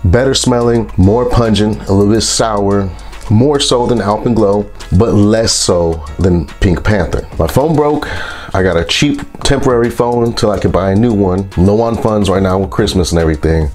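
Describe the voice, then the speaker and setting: A man with a congested, stuffy voice from a sinus infection, reviewing products in a relaxed, rambling vlog. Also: sits low. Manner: relaxed, rambling, with frequent fillers.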